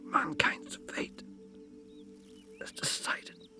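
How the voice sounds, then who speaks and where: An actor with a Northern Irish accent playing a mortally wounded, fiercely protective headmaster in an audio drama, with a gravelly voice. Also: male voice, extremely slow, in a whisper. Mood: dying, whispering. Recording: dramatic music behind the voice.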